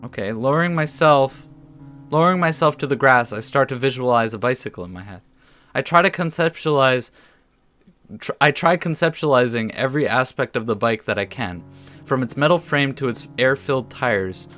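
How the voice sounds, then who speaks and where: Male gaming host, casual, reads story text aloud with small stumbles, mid-pitched voice, soft guitar music underneath. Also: expressive, brisk. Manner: casual, stumbles over words when reading aloud. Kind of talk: let's play commentary mixed with reading story text aloud.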